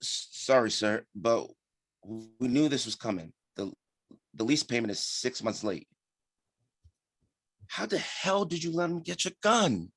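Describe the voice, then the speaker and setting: A man's voice: mid-range voice, lively intonation, measured pace, pauses often, a bit bright, no room sound, clean studio sound. A man playing a tough, blunt henchman with a terse manner in a screenplay table read. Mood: nervous, alarmed.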